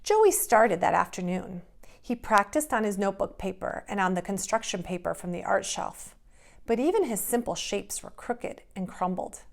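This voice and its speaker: A woman reading aloud and giving simple instructions to young children in an expressive way, as a preschool teacher. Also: mid-range voice, moderate pace, vocal fry.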